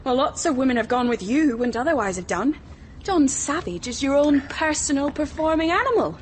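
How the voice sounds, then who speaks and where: Sly needling woman, highish very animated voice, taunting, radio drama. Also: fairly quick, a little hiss or hum. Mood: taunting, accusing. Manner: pointed, taunting, insinuating.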